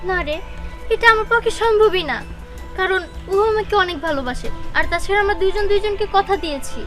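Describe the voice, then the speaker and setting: Woman acting a very high-pitched lovestruck Bangladeshi girl. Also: brisk. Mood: anxious. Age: young adult. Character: lovestruck young daughter, coy and defiant. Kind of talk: melodramatic short-film acting. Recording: loud music behind dialogue.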